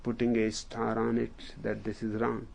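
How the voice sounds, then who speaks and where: A man with an Indian accent, a native Hindi speaker, telling a story as a spiritual teacher in a deliberate, unhurried way with long pauses. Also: lowish voice, monotone, creaky, a little mellow. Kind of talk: slow storytelling discourse.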